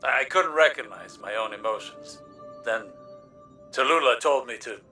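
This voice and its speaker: Sharp, proud, awkward scholar. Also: male voice, medium pitch, expressive, normal speed, recorded from a distance, faint music behind. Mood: sheepish, dry. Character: proud, awkward, reserved male scholar in love. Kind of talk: video game character dialogue.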